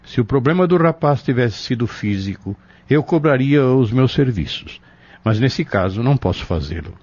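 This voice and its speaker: An assured, expressive Brazilian adviser. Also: male voice, mid-range voice, breathy edge, dry recording. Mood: calm, kindly. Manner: firm, measured, didactic. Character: wise, assured pharmacist and spiritual adviser. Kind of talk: Brazilian radio drama acting. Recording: dull audio with music.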